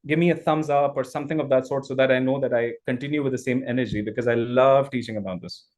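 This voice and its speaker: A male trainer whose first language is Urdu, giving an energetic live online demo and often repeating and restarting his phrases. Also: mid-range voice, quick pace.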